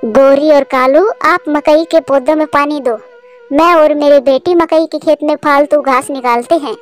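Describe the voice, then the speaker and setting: A woman voicing a gentle, hardworking mother bird who speaks patiently in a children's cartoon. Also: high-pitched, fairly even intonation, normal speed, faint music behind, lightly acted. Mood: earnest. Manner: patient, instructive. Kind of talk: Hindi children's cartoon voice acting.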